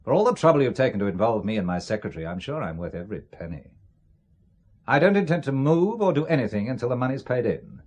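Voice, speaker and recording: A man acting a shrewd British businessman in a spy drama. His voice is husky and moves freely in pitch, yet he stays composed, measured and dryly polite. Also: big pitch swings, darkish tone. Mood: cool, firm. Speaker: actor in an audio spy drama.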